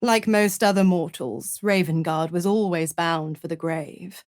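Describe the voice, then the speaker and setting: A woman's voice: lowish voice, measured pace, no room sound, clean studio sound, natural voice. An actress playing a seductive, scheming female devil in a video game, mocking and purring with condescending endearments. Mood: coolly amused. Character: seductive, scheming, gloating female devil who makes pacts. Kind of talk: theatrical game character dialogue.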